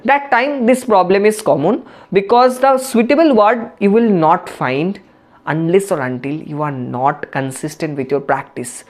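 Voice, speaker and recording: A woman's voice: lowish voice, measured pace. A woman with an Indian English accent, a native Bengali speaker, giving a spoken English lesson and explaining with many restarts and fillers. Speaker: English teacher.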